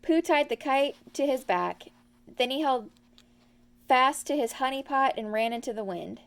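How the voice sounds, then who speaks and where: Female storybook narrator, scripted children's reading, fairly high pitch. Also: expressive, a little slow. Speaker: storybook reader.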